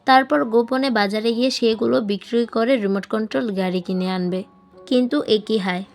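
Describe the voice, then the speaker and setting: High-voiced woman narrating a story over music. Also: creaky. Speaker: storyteller reading a novel aloud. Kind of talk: scripted audiobook narration with acted dialogue.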